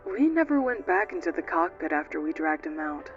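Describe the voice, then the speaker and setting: A woman playing a timid, gentle young ship's nurse, hesitant and soft-spoken, in a fan-dubbed space game. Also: sits low, sing-song, a little fast, muffled recording. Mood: anxious. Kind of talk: dramatic fan dub of a video game. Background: music.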